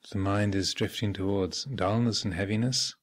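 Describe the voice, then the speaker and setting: A man speaking as a meditation teacher, giving measured guided instruction with thoughtful pauses and gentle phrasing. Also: fairly low pitch, fairly even intonation. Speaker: Buddhist monk and meditation teacher leading a retreat. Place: meditation hall at a retreat center.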